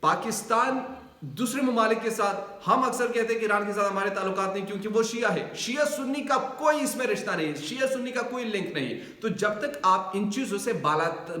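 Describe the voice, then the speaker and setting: A man's voice: sits high, moderate pace, bright, forceful tone. A man with a Pakistani accent giving political news commentary to viewers, emphatic and repeating phrases to drive points home. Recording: roomy echo on the voice.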